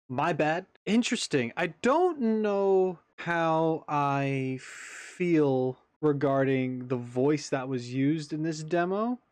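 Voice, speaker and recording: A man's voice: fairly high pitch, darkish tone, no room sound. A man giving casual, off-the-cuff commentary as the host of a reaction video.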